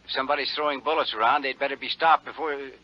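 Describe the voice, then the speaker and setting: A man's voice: medium pitch, fairly even intonation, very fast, no room sound. A man playing a concerned, businesslike insurance executive in a 1950s radio drama, slightly hesitant, through a telephone filter. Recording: muffled radio recording, distant telephone voice.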